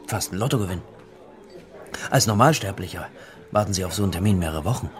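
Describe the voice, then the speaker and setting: A man's voice: sits low, expressive, fast. An actor playing a chatty, melancholy man with an urbane, wry, persuasive manner in a German radio play. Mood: wry, insistent. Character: chatty, melancholy man coaxing a new acquaintance.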